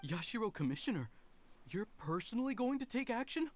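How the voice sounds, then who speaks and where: An actor playing an anxious, deferential servant in an anime game dub, earnest and pleading. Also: male voice, high voice, very animated, a little fast, a little hiss or hum.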